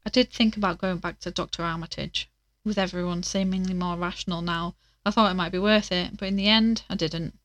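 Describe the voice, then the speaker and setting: A woman's voice: on the low side, steady tone, brisk, fairly bright tone, no room sound, natural voice. A woman playing a shaken, homesick investigator, giving hesitant, downcast testimony in an audio drama monologue. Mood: quiet, weary. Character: shaken, homesick female investigator recounting her ordeal.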